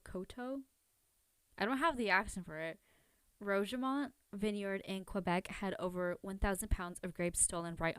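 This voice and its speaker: A woman's voice: medium pitch, a bit bright, clear, resonant tone, no echo. Rambling, informal woman podcaster in dry sound. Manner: informal, rambling, self-questioning, filler-heavy with frequent "like". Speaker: podcast host. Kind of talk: casual chatty true crime podcast commentary.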